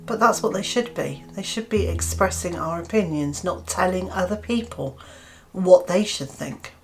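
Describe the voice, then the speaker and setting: A woman's voice: lowish voice, normal speed, faint music behind. A British woman in her fifties, a gardening channel host, giving an earnest opinion piece to camera with hesitant restarts and fillers. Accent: British English. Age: 50s.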